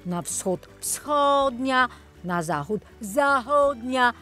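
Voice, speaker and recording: An enthusiastic male tour guide from a children's storybook, as a woman narrator puts him on. He explains the sights slowly and with friendly exclamations. Music plays faintly behind. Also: fairly high pitch, expressive, fairly bright tone, voice pushed up, exaggerated voice. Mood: enthusiastic, explaining. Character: enthusiastic, friendly man guiding a city boat tour. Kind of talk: children's audiobook character.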